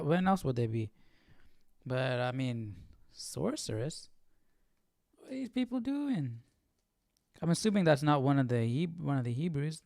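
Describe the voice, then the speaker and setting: A man's voice: on the high side, creaky, dry recording, clean studio sound. A man livestreaming a Bible study, commenting casually with puzzled questions in informal, slangy speech. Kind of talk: casual spontaneous commentary while reading.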